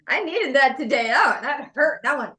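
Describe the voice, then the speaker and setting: A woman guest on a podcast telling a story in a lively, self-deprecating way. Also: sits high, moderate pace. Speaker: podcast guest, money speaker, former performer. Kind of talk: casual storytelling chat. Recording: echoey room.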